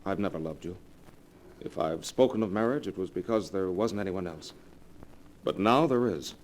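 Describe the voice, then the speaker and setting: An ardent young suitor, urgent and dramatic. His voice is on the low side and very animated. It comes through a faintly noisy 1940s radio recording. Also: moderate pace. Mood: firm, urgent. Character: evasive, ardent young suitor turning coldly firm.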